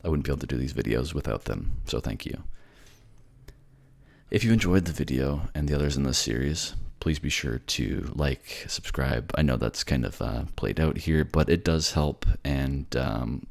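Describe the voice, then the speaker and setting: A man with an extremely low, creaky voice talks casually to his audience as host. He is polite and modest, a little hesitant and self-deprecating. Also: normal speed. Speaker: voice actor, channel host. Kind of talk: casual sign-off to listeners.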